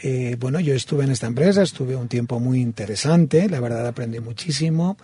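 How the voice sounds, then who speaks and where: A man's voice: mid-range voice, a little fast, mellow, slightly rough, dry recording. A man with a Castilian Spanish accent, a radio interview guest in a studio booth, speaking fluently and evenly. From Spain, Madrid. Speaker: interview guest, coaching school president.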